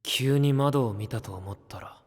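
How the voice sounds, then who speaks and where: Cool, elusive young knight. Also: male voice, medium pitch, breathy edge, no echo, studio quality. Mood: observant, puzzled. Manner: calm, teasing, measured. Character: cool, elusive, self-possessed young male knight candidate. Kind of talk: fantasy audio drama acting.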